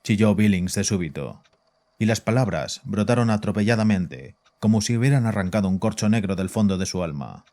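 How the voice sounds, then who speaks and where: An actor narrating a horror audio drama and playing its composed psychiatrist, measured and grave in a deep, dark voice. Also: male voice, animated, natural voice. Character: composed, probing male psychiatrist who also narrates. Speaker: narrator and doctor.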